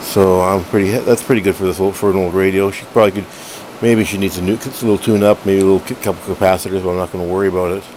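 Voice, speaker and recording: Low-voiced man, halting demo, noisy room. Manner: halting, rambling, self-correcting. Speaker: hobbyist collector reviewing a radio. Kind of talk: casual unscripted product demo. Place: room at home, indoors. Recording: noisy room with steady background hum.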